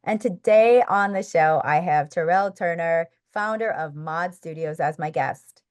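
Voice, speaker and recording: A woman's voice: lowish voice, unhurried. A woman with an American accent hosting a chatty podcast interview. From North Dakota, American Midwest. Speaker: podcast host.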